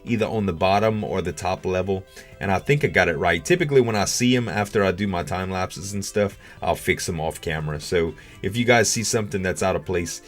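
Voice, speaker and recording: A man giving casual gaming commentary as a video host, rambling and thinking aloud. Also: sits low.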